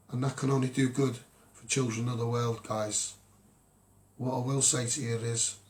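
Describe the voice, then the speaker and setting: A man with a Scouse accent speaks off the cuff to his followers as a campaigner, in an earnest, exhortative monologue. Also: medium pitch. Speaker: social media campaigner addressing followers.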